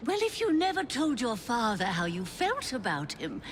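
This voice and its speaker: A woman's voice: fairly high pitch, wildly swooping pitch, moderate pace, slight background noise. An actress playing a genteel, imperious and playful older Victorian lady in a video game, with an upper-class English accent and a grand, fluting manner. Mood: kindly. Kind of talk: theatrical period video game acting.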